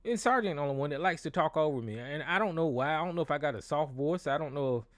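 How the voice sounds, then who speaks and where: A man's voice: on the high side, animated, fast talker, dry recording. A man hosting a call-in show, talking bluntly and with a lot of profanity, often restarting his sentences. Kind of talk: casual call-in show monologue. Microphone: desk microphone with board.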